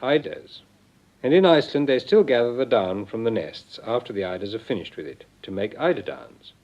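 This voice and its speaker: A man giving measured, explanatory scripted narration for an educational record, heard on a vintage recording. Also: medium pitch, animated, darkish tone. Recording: dull, band-limited vintage record.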